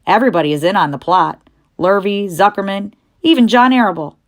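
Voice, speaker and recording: A woman's voice: medium pitch, brisk, lightly acted. A woman voicing a blunt old female sheep in a storybook, talking in a matter-of-fact, snappish way.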